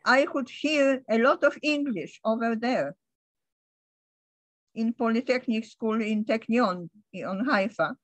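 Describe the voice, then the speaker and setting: Older Polish-accented woman, halting. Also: a little high, no room sound, rough recording. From Poland. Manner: halting, restarts often, light laughs. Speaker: interviewee. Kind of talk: recalling her past in conversation.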